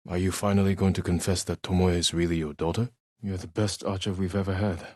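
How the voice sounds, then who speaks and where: An actor playing a stern, honorable young samurai in a video game, speaking low and husky in a restrained, measured, terse way. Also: male voice, level tone, warm tone, dry recording, studio quality. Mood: grave, resolute. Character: stern, honorable young samurai warrior, weary but resolute. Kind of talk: dramatic video game acting.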